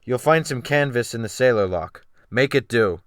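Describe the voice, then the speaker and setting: A man playing a cold, menacing sea captain in a dramatic audiobook, smooth-spoken but snapping into orders. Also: mid-range voice, expressive, moderate pace, no echo, studio quality, lightly acted. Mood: barking command. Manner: smooth, then snapping sharply into loud orders. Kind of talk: dramatic audiobook character reading.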